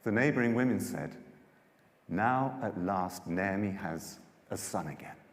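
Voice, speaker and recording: A man performing a dramatised scripture narration in a theatrical, declamatory manner with deliberate pauses, live in a large church hall. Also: deep voice, expressive. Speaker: Bible storyteller performer. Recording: echoey church hall with long reverb.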